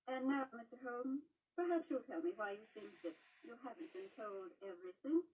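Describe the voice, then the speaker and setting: Husky, high-voiced poised society lady. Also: very animated, moderate pace, distant mic, highs cut off. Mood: playful, poised. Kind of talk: old radio drama acting. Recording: old radio broadcast, played from afar.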